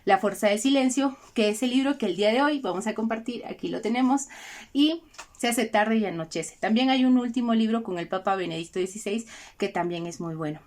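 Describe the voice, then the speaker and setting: Gentle Peruvian woman hosting a faith program. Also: mid-range voice. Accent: Peruvian Spanish. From Peru. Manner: gentle, addresses listeners directly, slows for emphasis. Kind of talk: reflective spiritual talk with readings.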